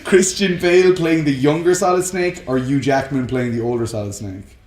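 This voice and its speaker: A man's voice: on the high side, steady tone, moderate pace, darkish tone, roomy sound. A man co-hosting a casual, jokey banter show about movies, often chuckling as he talks. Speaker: co-host of a film discussion show.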